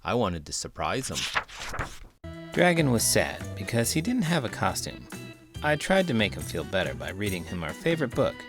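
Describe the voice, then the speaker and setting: A man with a low voice hosts storytime for children. His delivery swings widely in pitch, playful and expressive, with music playing behind him. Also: brisk. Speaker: host of a children's read-aloud channel. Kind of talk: lively children's storytelling and chat.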